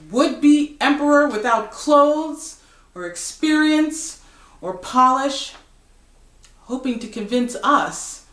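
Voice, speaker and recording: A woman reading poetry aloud in a dramatic, deliberate way, with emphatic pauses. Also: medium pitch, a bit bright. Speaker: poetry reader. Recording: roomy, echoing recitation.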